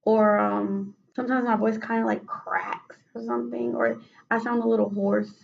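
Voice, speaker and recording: A woman with a raspy voice answering questions as a patient in a role-played clinical interview, hesitant with many fillers and restarts. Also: fairly high pitch, flat delivery, moderate pace, dull audio. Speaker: mock patient in a role-play case study.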